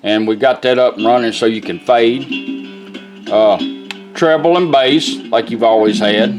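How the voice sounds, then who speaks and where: A man's voice: mid-range voice, unhurried, muffled recording. Folksy guy doing a casual demo, explains stuff informally, some guitar music behind him. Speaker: radio restorer demonstrating a product. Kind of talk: casual product demonstration.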